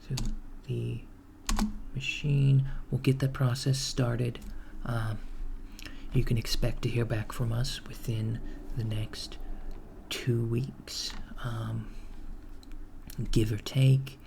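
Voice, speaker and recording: A mild, polite recruiter for a villainous company , played by a man in an ASMR roleplay. He speaks softly and hesitantly at a middle pitch with plenty of vocal fry, staying matter-of-fact about henchman work. Keyboard typing and clear background noise sit behind the voice. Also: level tone, slow. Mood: polite, businesslike. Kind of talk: ASMR roleplay, job interview.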